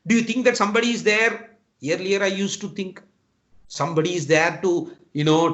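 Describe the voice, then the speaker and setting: High-voiced Tamil Nadu Indian man lecturing. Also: fairly bright tone. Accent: Tamil Nadu Indian English. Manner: earnest, repetitive, addresses listeners as "my dear friend". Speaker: guest speaker. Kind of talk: online motivational lecture to engineering students. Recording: dull lo-fi online talk audio.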